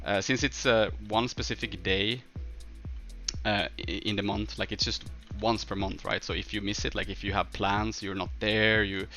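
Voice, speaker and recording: A man from northern Sweden with a Swedish accent, chatting casually as a game livestreamer and answering viewers in a halting way, thinking aloud. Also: lowish voice, fairly even intonation, sharp tone, soft background music.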